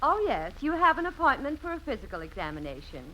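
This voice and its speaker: Brisk nurse in old 50s radio comedy, bright lively voice, businesslike. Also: female voice, high voice, exaggerated voice, low-quality recording. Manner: businesslike, questioning. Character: brisk, matter-of-fact woman nurse at a doctor's office. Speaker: supporting actor. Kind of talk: 1950s radio comedy acting. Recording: 1950s radio recording, faint noise.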